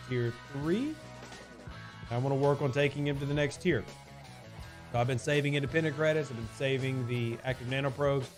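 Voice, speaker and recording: A man streaming live and answering chat questions in a casual, rambling way, often hedging with "I mean" and repeating his points. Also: mid-range voice.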